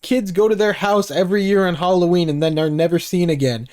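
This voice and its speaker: A man's voice: high voice, steady tone, fairly quick, darkish tone, clean tone. A man giving casual, sweary reaction commentary with exclamations and sarcastic asides. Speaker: YouTube reactor and reviewer.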